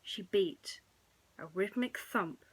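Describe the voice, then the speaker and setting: Slow, hushed woman telling bedtime stories. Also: mid-range voice, heavy background noise. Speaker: bedtime storyteller. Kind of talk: soothing bedtime story narration.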